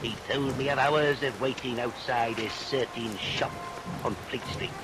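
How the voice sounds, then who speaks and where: An actor playing a plump, scheming, sanctimonious parson in vintage radio melodrama, preaching in florid, archaic biblical phrases. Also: male voice, mid-range voice, a little slow, over music, natural voice, poor audio. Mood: self-important. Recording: vintage radio recording under rain noise.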